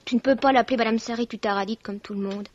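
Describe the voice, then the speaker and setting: An actress playing a spirited, sensible young student in a 1950s French radio mystery, lively, cheeky and slangy. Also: female voice, fairly high pitch, racing, close to an everyday voice. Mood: reproving. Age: young adult. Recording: dull vintage radio recording.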